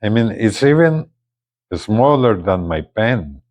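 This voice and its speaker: A man giving casual unboxing and product commentary in an informal, halting way, often repeating words. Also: fairly low pitch, big pitch swings, darkish tone, no echo. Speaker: product reviewer.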